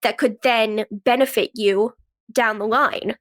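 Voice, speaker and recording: A woman's voice: fairly high pitch, fairly even intonation, takes it slowly. A woman speaking as an interview guest in a conversational, earnest and explanatory way. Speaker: podcast guest, actress and writer.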